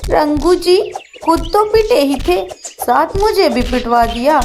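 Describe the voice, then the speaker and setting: Woman doing a shrill complaining female cartoon villain. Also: lively intonation, slow pace, a little mellow, soft background music, exaggerated voice. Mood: aggrieved. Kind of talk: children's cartoon dialogue.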